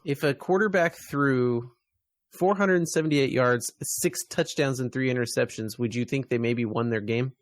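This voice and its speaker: A man's voice: medium pitch, normal speed, no room sound. A man co-hosting a podcast, chatting casually about sports. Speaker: podcast co-host.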